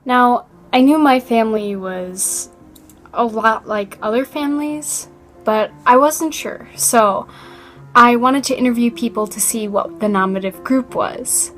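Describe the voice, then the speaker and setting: A young woman narrating her own documentary in an informal, light way with some fillers. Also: a little high.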